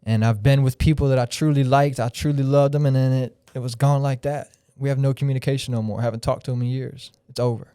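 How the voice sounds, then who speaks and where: A man's voice: mid-range voice, fairly even intonation, fast, few pauses, dark tone, smooth, no echo, clean studio sound. A man in his thirties from Florida, a podcast guest talking in a rambling, reflective, freewheeling way. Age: 30s. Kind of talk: casual podcast chat.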